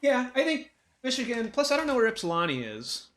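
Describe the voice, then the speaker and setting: A man's voice: very high voice, huge pitch swings, brisk, fairly bright tone. A young man, chatting casually while playing a video game, hesitant and full of restarts. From Ohio, USA. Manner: rambling, hesitant, many fillers and restarts. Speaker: co-host. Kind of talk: casual gameplay chat.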